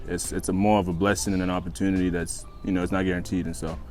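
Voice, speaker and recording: Deep-voiced young man, second-language English. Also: flat delivery, quick pace, darkish tone. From East Africa and Australia. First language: not English, learned it after moving to Australia. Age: 20s. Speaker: interview guest, college basketball player. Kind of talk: casual interview, telling own life story. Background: background music.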